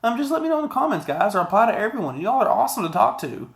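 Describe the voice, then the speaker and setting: A man's voice: sits high, very animated, very fast, gravelly. An American man in his thirties gives a casual video product review in a conversational, rambling way, repeating words for emphasis, recorded in a small office. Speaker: audio gear reviewer. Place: small office room indoors.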